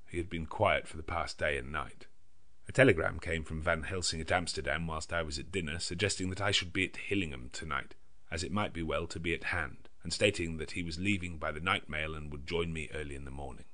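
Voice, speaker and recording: A man narrating a gothic novel in a measured, clearly enunciated literary manner. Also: very deep voice, bright, forceful tone. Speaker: audiobook narrator. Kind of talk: scripted narration of a gothic novel in diary form.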